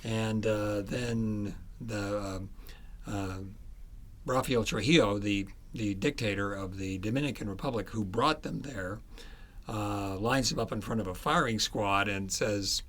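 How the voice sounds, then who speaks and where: A man's voice: fairly low pitch, unhurried, fairly bright tone. A man being interviewed as an author, telling history in a conversational, explanatory and emphatic way.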